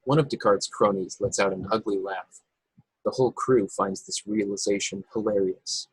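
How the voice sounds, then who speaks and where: A man reads stage directions as narrator of a table read. His voice is fairly low and very husky, with a dry sound free of room echo. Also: normal speed. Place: remote video call.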